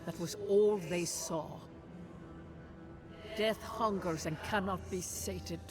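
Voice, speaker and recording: An ancient guardian spirit from a video game, acted out by a performer, speaks with solemn formality. The delivery is very slow and measured, mid-pitched, with prominent music underneath. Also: fairly even intonation, natural voice. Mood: grave. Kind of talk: scripted dramatic game narration. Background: clear background music.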